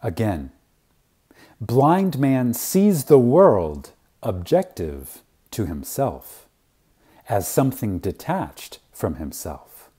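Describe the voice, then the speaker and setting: A man reading an inspirational spiritual lecture reverently and dramatically, with deliberate pauses, weighty emphasis and phrases that trail off softly. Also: medium pitch, big pitch swings, husky.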